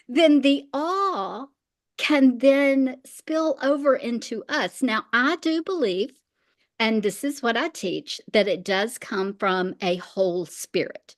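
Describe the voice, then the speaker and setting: High-voiced woman, emphatic faith teacher. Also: animated, slow, a bit bright. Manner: emphatic, repeats phrases for weight. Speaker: interview guest, faith teacher.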